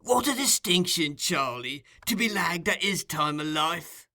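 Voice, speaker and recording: A man's voice: fairly high pitch, lively intonation, unhurried, pushed and bright, pitched above the performer's own voice, full character voice. A man doing a wheedling old thief-master. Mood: coaxing. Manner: wheedling, fond of calling people my dear.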